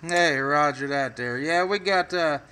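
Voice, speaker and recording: A man's voice: on the high side, unhurried. An American man working a CB radio, talking in a folksy way full of radio jargon as he makes on-air contacts. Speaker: CB radio operator. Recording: loud radio static and noise. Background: radio static and noise.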